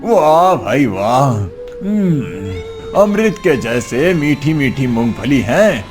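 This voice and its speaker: A man's voice: medium pitch, sing-song, very slow, over music. A man voicing a greedy, smug, abusive rich boss in a cartoon story, sneering and scolding. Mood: smug, savouring. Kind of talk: cartoon villain acting.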